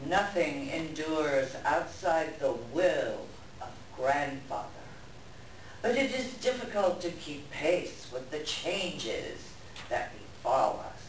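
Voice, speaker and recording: A wise brown bear, voiced by a stage reader, speaks very deliberately with grave weight. The live theatrical reading sounds distant and echoey, with faint noise behind it. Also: medium pitch, animated. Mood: calm, weighty. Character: Brown Bear, wise, solemn elder animal leader. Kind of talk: dramatic reader's theater performance.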